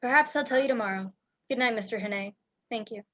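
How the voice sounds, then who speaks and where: A woman's voice: fairly high pitch, quick pace, a bit bright. A young woman playing a frightened, secretive spy in an amateur radio play, speaking urgently and dramatically. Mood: subdued. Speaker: student actor in a school radio play. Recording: dull lo-fi home recording.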